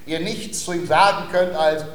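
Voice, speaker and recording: An actor in a comic radio play, playing a patient, self-important language tutor who speaks in a precise, didactic and formal way. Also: male voice, a little high, fairly even intonation, normal speed, roomy sound, noisy background, lightly acted. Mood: patient instruction. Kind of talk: theatrical classic-comedy radio acting.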